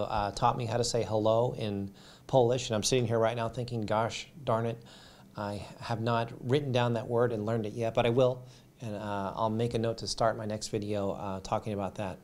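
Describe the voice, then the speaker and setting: An American man with a slightly raspy voice gives casual, unscripted sports commentary to viewers, often halting and repeating words. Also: lowish voice, fairly even intonation. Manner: halting, repeats words and trails off mid-phrase. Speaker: YouTube sports commentator and host. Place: home studio.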